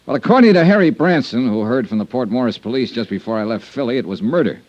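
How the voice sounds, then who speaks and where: An actor playing a skeptical, wry private investigator in a 1950s radio detective drama, with a dry, hard-boiled delivery. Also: male voice, medium pitch, expressive, fast talker, darkish tone, no echo, close to an everyday voice. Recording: dull old radio recording, music.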